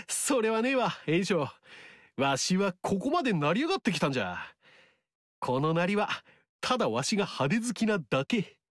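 An actor playing a cheeky, folksy upstart warlord in a video game, speaking in a rustic dialect. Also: male voice, sits high, big pitch swings, measured pace, a bit bright, dry recording, full character voice. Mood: teasing. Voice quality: rustic dialect speech, teasing. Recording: dry studio recording.

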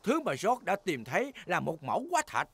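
Animated grandpa pig in a cartoon. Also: male voice, high voice, a little fast, vocal fry, breathy edge, no room sound, full character voice. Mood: explaining warmly. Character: cheerful, playful grandfather pig, hammy and dramatic. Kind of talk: Vietnamese-dubbed children's cartoon acting.

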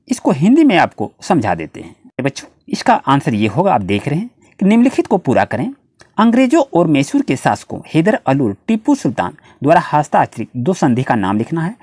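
Husky Hindi-speaking tutor with swooping pitch. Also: darkish tone, no echo, clean studio sound. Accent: Indian. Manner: addresses students directly, repetitive explanatory phrasing. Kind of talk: lesson, reading out questions and answers.

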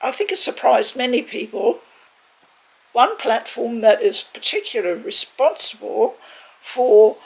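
An older woman, in her sixties or beyond, giving a measured, reflective video review in a conversational way, choosing her words carefully. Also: on the high side, creaky, slightly rough, rough recording. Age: 60s or older. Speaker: game reviewer, video host. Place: living room, indoors.

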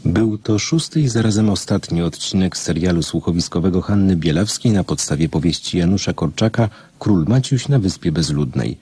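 A man's voice: sits low, moderate pace, few pauses, dark tone, slight background noise. A man reading a formal, scripted announcement as a radio announcer.

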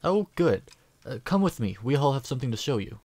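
A woman playing a kind, patient adventurer in a fantasy audio drama, talking in a gentle, casual, reassuring way. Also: extremely low pitch, sing-song, a little fast, breathy edge, no room sound, full character voice. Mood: warm, reassuring.

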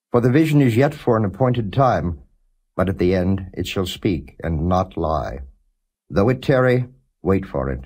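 A man giving a non-dramatized scripture reading as a narrator, in a measured, solemn and formal way. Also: deep voice, darkish tone, slightly rough. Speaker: Bible reader, audiobook narrator.

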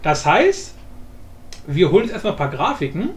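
A man giving a casual software tutorial, rambling informally in slang and thinking aloud. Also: high voice, huge pitch swings, moderate pace. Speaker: tutorial host. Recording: echoey room, faint background noise.

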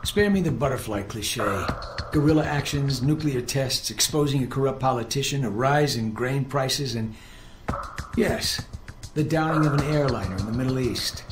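An actor playing a cold, condescending older intelligence handler in a video game, speaking in clipped, precise, superior phrases. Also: male voice, medium pitch, moderate pace, noisy background, natural voice. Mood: cool, lecturing. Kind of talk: video game dialogue acting. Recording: roomy echo with music underneath.

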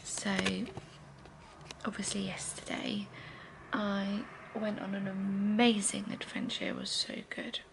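A woman's voice: steady tone, slow pace, slight background noise, low-quality recording. A woman in her thirties whispers a casual morning vlog into a close camera in her living room. She is tired and congested. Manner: soft whisper, tired and low-key. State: congested and fatigued. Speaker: vlogger. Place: living room at home, indoors. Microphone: camera close to the face.

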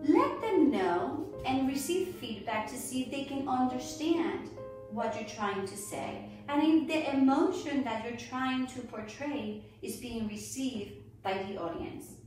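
A woman giving an instructional dance lesson as a ballet teacher, with a measured, deliberate, encouraging delivery, recorded indoors on a distant camera microphone. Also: high voice, lively intonation, a little mellow. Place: indoors, hard-floored home studio room. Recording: echoey room, distant mic, music behind.

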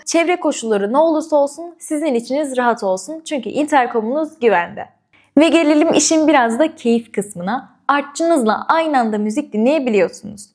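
A woman's voice: a little high, darkish tone. A woman hosts a product demonstration. She speaks straight to the viewer and explains each step in an orderly way. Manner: clear step-by-step explaining, addressing viewers directly. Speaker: product reviewer and presenter. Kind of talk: product review and unboxing demonstration.

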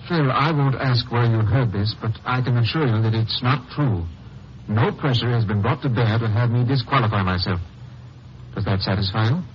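Actor as formal judge, muffled old radio. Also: male voice, medium pitch, moderate pace, slight background noise, natural voice. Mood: courteous, guarded. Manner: formal, polite. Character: dignified, courteous male judge. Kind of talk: 1950s radio drama acting. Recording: muffled vintage radio recording.

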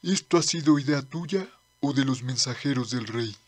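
A man's voice: medium pitch, moderate pace, close to an everyday voice. A man voicing a cautious old monster sidekick in a manga dub, wary and questioning.

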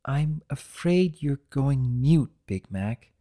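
A man voicing a professional female nurse pony, gentle and formal, in a dramatic reading of a cartoon story. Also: mid-range voice, animated, takes it slowly. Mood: grave.

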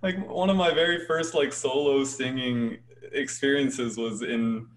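A young man with a light, easygoing voice chats on a video call. He talks with animated swings in tone, laughs often and stumbles through restarts. It sounds like a small room at home. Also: mid-range voice, a little slow, slight background noise. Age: young adult. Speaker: choir bass singer, guest. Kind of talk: casual video-call interview.

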